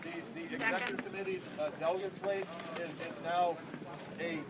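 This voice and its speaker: A high-pitched man speaks quickly and formally, like an official reporting to a meeting. The recording is outdoors, with very loud noise all around him. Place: outdoors at a parking lot gathering.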